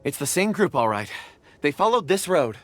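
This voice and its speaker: A man's voice: a little high, very animated, moderate pace, fairly bright tone. A man voicing a polite, modest and earnest traveling companion in a video game, courteous in his speech. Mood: attentive. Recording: faint music behind the voice.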